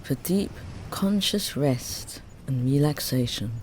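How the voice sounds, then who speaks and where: A meditation teacher gently guiding a Yoga Nidra relaxation, soothing and unhurried, with long pauses between phrases. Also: medium pitch. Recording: steady ambient noise under the voice. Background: ocean waves.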